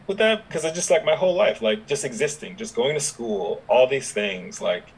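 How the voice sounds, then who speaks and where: A young adult man with no regional accent, chatting relaxed with many fillers as a podcast guest. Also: medium pitch, moderate pace, a little hiss or hum, poor audio. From Dominica, raised in Houston, Texas. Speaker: podcast guest, app founder. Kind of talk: casual interview conversation.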